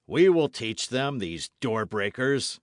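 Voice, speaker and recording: A man's voice: on the low side, big pitch swings, takes it slowly, vocal fry, no echo, studio quality, full character voice. Man playing a defiant, mocking swordsman. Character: defiant, jeering swordsman, calm and menacing.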